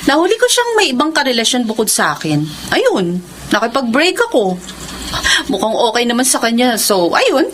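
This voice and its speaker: A young, self-assured online seller, speaks in a high voice with a Filipino accent. She is breezy and playful in radio drama style, with faint noise behind. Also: very animated, moderate pace, a bit bright. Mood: nonchalant.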